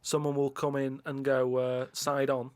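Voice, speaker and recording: A man's voice: medium pitch, flat delivery, unhurried, a little mellow, a little hiss or hum. A man telling a casual, rambling anecdote to friends in a vague, matter-of-fact way. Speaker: podcast co-host and storyteller.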